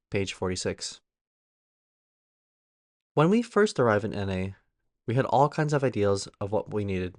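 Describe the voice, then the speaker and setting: A man's voice: on the low side, no echo, studio quality. A man reading a written daily recovery meditation aloud as a guest reader.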